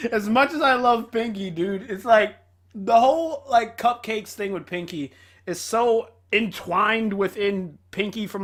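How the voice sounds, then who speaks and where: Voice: medium pitch, a bit bright. A reaction video host giving casual, slangy, exclamatory commentary.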